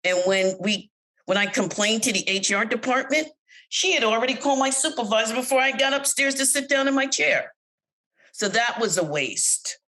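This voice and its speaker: A middle-aged American woman recounting her work experiences in an interview, speaking candidly and growing animated when she quotes others. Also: fairly high pitch, moderate pace, low-quality recording. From United States. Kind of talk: personal account in an interview.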